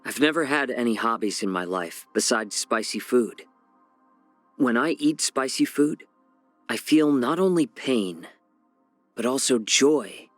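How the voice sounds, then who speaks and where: An actor voicing a genial, sly fox-man healer and chef in a video game, speaking softly and smoothly in a measured, gently teasing way. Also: mid-range voice. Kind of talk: scripted game character voice lines.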